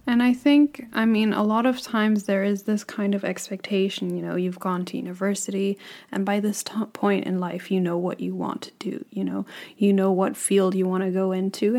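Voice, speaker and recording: A woman's voice: medium pitch, a little mellow. A woman in her twenties from Hong Kong, co-hosting a podcast in an earnest, casual chat, peppered with "you know" and easy laughs. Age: 20s. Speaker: podcast co-host.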